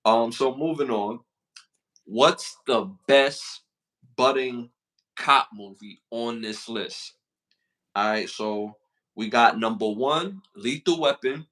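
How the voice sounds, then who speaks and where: A man's voice: medium pitch, slow pace, a bit bright, poor audio. A man with a Brooklyn accent co-hosting a casual podcast, slangy and repeating himself as he reads out list questions. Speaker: podcast co-host.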